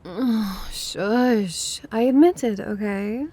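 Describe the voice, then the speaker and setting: A woman's voice: on the high side, fairly even intonation, unhurried, gravelly, warm tone. A woman playing a prickly, disdainful vampire who is secretly smitten with a human, huffy and theatrical in an ASMR roleplay. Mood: defensive.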